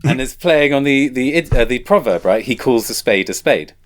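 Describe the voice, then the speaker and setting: A man's voice: medium pitch, lively intonation, moderate pace. A man hosting a podcast who chats casually and eagerly, often agreeing with his guest. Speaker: podcast host. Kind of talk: casual conversation.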